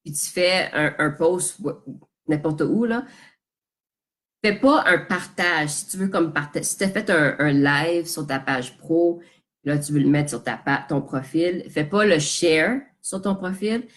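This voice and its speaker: A woman's voice: deep voice, measured pace, a bit bright. A woman with a Quebec French accent coaching her audience live, speaking casually and directly and dropping English terms into her French. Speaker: business coach. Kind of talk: live social media coaching talk. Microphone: phone or webcam on a live stream.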